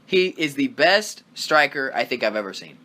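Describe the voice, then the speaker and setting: A man co-hosting a casual wrestling review podcast, talking with excitement, rambling and swearing freely. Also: medium pitch, normal speed. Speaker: podcast co-host.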